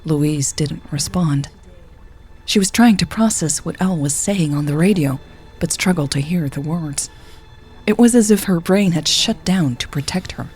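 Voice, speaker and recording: A woman's voice: sits low, normal speed, darkish tone, slightly rough, faint music behind. A woman reading a scripted story as a narrator, voicing the dialogue as she goes. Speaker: audio fiction narrator.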